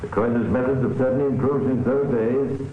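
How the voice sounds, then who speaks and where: A man's voice: medium pitch, steady tone, fairly quick, lightly acted. A man playing an authoritative narrator and guide in an old radio crime drama. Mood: worldly, explanatory. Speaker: narrator and host. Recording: dull, echoey, noisy old radio recording.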